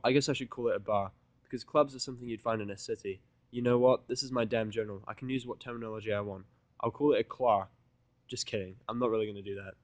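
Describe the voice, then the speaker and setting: Guy narrating a story in first person, medium pitch, deadpan and grumbly, no room echo. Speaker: audiodrama reader. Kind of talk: first-person dramatic fan fiction narration.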